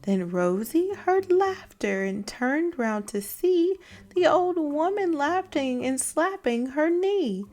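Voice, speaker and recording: A woman reading a children's picture book aloud with deliberate, dramatic phrasing. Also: high-pitched, lively intonation, darkish tone. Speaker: read-aloud storyteller.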